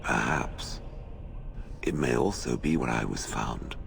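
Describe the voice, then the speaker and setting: An actor voicing a haughty, sardonic ancient stone golem in a video game, with stilted, condescending, deliberate phrasing. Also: male voice, a bass voice, creaky, slight background noise, exaggerated voice. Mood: musing. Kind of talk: dramatic video game acting.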